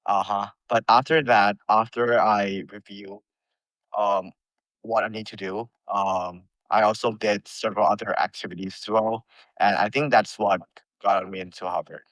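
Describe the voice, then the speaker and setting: A young man from Thailand, a college freshman, answering interview questions carefully with many pauses and ums. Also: fairly low pitch, steady tone, fairly bright tone, no room sound, rough recording. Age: young adult.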